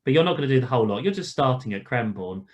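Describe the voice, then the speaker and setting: A man's voice: mid-range voice, expressive, rapid-fire. A man leading an informal online lesson as an instructor, speaking in a reassuring, casual manner. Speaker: flight instructor leading a ground school. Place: online video call.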